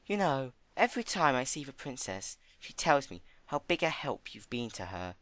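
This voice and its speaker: A man voicing a gentle, motherly female pony with an earnest manner, in a dramatic storybook reading. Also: medium pitch, big pitch swings, moderate pace, no room sound, voice pushed up. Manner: soft-spoken, hesitant, earnest. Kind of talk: dramatic fan fiction reading.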